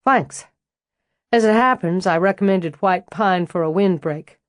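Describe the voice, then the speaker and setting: A woman's voice: fairly low pitch, unhurried, dry recording, studio quality, close to an everyday voice. A woman voicing an easygoing, flirtatious man. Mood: teasing. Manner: drawling, teasing. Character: easygoing flirtatious southern man, teasing landscaper.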